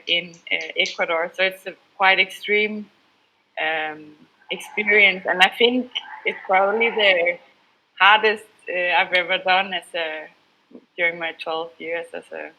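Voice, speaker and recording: A woman from Denmark whose first language is Danish, speaking English as a freelance journalist on a panel, hesitant and full of fillers, trailing off softly. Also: medium pitch, poor audio. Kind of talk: self-introduction on a panel. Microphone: video call.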